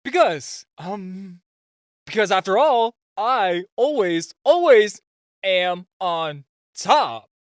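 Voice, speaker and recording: A man's voice: high-pitched, animated, slow pace, clean tone, no room sound, studio quality. A man playing a super-shy, flustered nerdy gadget inventor in an amateur audio roleplay, nervous and prone to stammering. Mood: cocky bravado.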